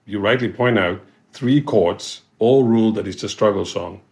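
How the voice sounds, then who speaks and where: A South African man speaks in a deliberate, measured way. His voice sits somewhat low, and he sets out his points one by one. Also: gravelly, darkish tone. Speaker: interview guest.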